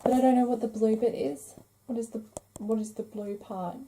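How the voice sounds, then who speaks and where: A woman's voice: a little high, steady tone, moderate pace, warm tone. A woman hosting a craft podcast, chatting casually and enthusiastically as she shows off her finds. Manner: enthusiastic, gushing. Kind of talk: casual vlog chat, showing craft magazines.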